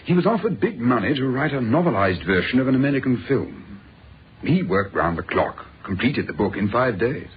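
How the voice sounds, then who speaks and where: An actor playing a genial, self-assured British doctor in a radio drama, speaking in an urbane, conversational way. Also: male voice, medium pitch, big pitch swings, normal speed, lightly acted. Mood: assured, explanatory. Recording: dull, faintly noisy radio recording.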